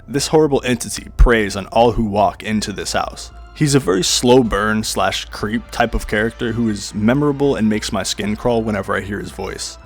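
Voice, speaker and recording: A man giving a scripted countdown narration as the host of a horror video. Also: lowish voice. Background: music.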